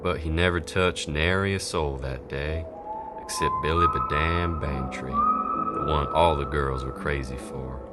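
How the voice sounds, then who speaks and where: Deep-voiced folksy storyteller played by a man. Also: measured pace, over loud music. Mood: folksy, dramatic. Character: folksy Old West storyteller, unhurried male townsman. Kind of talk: scripted narration of a Western folk tale.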